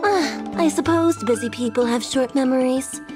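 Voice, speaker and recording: A woman's voice: sits high, moderate pace, over music, natural voice. A woman playing a courteous, formal government secretary in a video game, speaking politely and deferentially. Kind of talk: scripted video game dialogue.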